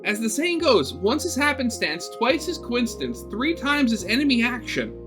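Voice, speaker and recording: A man's voice: extremely high pitch, sing-song, normal speed, over music. A man narrating a visual novel aloud as a gaming host, switching between storybook-like reading and offhand, sarcastic commentary.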